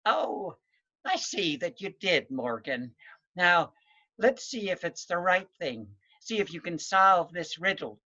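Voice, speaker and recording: A man voicing a stern village witch in a folktale, deliberately posing riddles. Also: high-pitched, very animated, bright, forceful tone, highs cut off, full character voice. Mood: testing.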